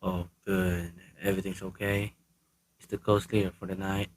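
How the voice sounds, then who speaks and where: Man playing George, a low-voiced hired killer. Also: little pitch movement, moderate pace. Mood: casual. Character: businesslike, unbothered hired killer named George. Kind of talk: radio play acting.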